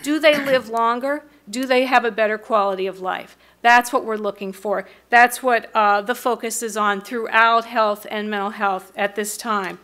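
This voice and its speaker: A middle-aged woman with an American accent gives an explanatory prepared presentation at a podium microphone, pausing hesitantly at times. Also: fairly high pitch, steady tone, a bit bright. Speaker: mental health board member presenting to city council. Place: large council meeting room, indoors.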